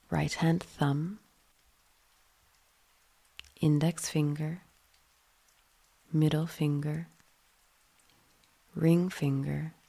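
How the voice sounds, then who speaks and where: Slow, low-pitched woman guiding deep relaxation. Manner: soothing, hushed, breathy phrase endings. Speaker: yoga nidra guide. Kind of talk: guided relaxation meditation.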